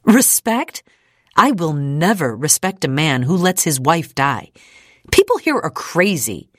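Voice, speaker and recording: 여성 낭독자가 연기하는 높은 목소리로 격정을 토하는 젊은 여자. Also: female voice, fairly high pitch, very animated, takes it slowly, slightly rough, no echo, studio quality, pitched above the performer's own voice, full character voice. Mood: angry, incredulous. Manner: pleading, exclamatory. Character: impassioned, indignant young woman, doctor's daughter. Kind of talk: audiobook character acting.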